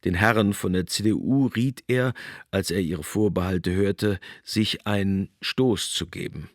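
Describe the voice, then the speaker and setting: A man reading scripted narration for a radio documentary in a measured, essayistic storytelling manner. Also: sits low, dry recording, studio quality.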